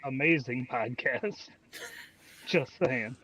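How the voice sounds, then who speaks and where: A man's voice: medium pitch, slow pace, rough recording. A man bantering casually, recorded with busy group chatter behind him.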